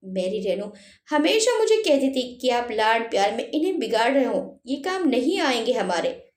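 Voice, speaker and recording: A woman's voice: a little high, big pitch swings, normal speed, roomy sound. A woman voicing a heartbroken, reproachful old father in a story, lamenting and pleading. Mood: bitter reproach.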